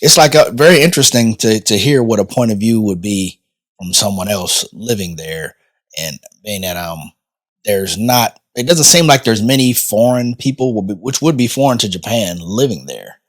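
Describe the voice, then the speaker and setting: Male podcast co-host, low voice, lively pitch, curious questions, restarts a lot. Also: normal speed. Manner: curious, restarts often when asking questions. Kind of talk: casual podcast chat.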